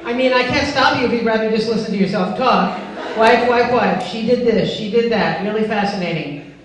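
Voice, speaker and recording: A woman's voice: on the high side, moderate pace, natural voice. A woman voicing an obsessive, pushy, self-centred dog with pompous, insistent phrasing in a live comic story reading. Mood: sarcastic. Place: live benefit event before a laughing audience. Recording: echoey noisy hall, far from mic.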